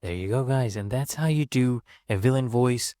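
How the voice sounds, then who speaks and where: A man presenting a step-by-step software tutorial in an informal, instructional way. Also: mid-range voice, normal speed, dry recording, studio quality.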